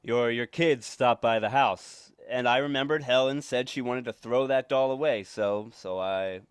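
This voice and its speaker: A man's voice: mid-range voice, normal speed, clean tone, no room sound, studio quality, natural voice. A man playing a nervous, stammering, guilty neighbor in an old-time radio suspense drama. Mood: feigned casualness. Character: nervous, evasive, guilty murderous male neighbor.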